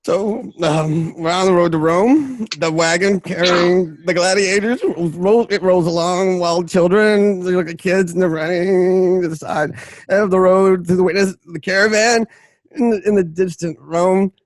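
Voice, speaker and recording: A man with a high voice reads stage directions as narrator. He is halting and trips over words, and the sound is dry. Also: no echo. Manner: stumbles over words and restarts sentences. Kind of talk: halting script reading.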